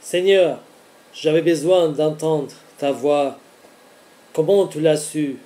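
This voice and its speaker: A man preaching in an earnest, deliberate way, delivering devotional words phrase by phrase. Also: fairly high pitch, mellow. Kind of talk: devotional teaching with scripture reading.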